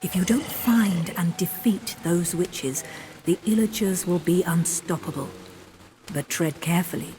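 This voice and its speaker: A woman playing a grave storyteller who narrates a fantasy video game with theatrical, ominous and deliberate phrasing. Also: on the low side, slight background noise, lightly acted. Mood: urgent, foreboding. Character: grave, dramatic female storyteller of a fantasy adventure. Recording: loud music behind. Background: dramatic game music.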